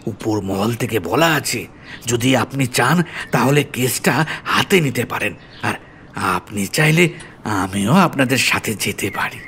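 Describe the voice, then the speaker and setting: A man's voice: medium pitch, lively intonation, measured pace, fairly bright tone, a little hiss or hum. A man playing an earnest, deferential police officer in an audio story, explaining things politely and seriously. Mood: grave.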